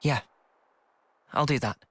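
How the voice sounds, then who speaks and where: A man's voice: slow pace, a bit bright, no echo. An actor voicing an earnest, guarded young male soldier in a video game cutscene. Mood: guarded, subdued.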